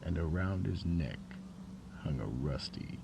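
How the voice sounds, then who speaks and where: A man narrating an eerie mystery radio drama in a theatrical storytelling style, with dramatic pauses. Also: low voice, lively intonation, creaky, heavy background noise.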